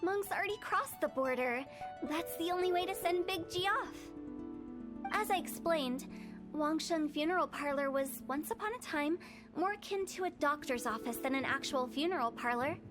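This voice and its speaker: A woman's voice: sits high, lively intonation, normal speed. A woman voicing a playful, mischievous young funeral parlor director who tells old tales in a game. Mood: solemn. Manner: teasing, then solemn storytelling. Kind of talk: game cutscene voice acting. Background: game music.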